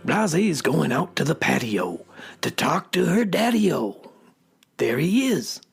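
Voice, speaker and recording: A hip beatnik storyteller voiced by a woman narrates slowly in a heavily creaking, breathy voice. The delivery is cool and hushed, made for children's radio theater. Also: low voice, very animated, faint music behind, full character voice.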